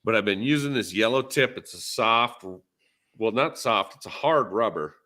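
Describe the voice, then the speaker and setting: A man with a fairly deep voice and a bright, forceful edge hosts a casual livestream podcast. He talks shop in a laid-back way, halting often as he thinks out loud. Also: expressive. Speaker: podcast host.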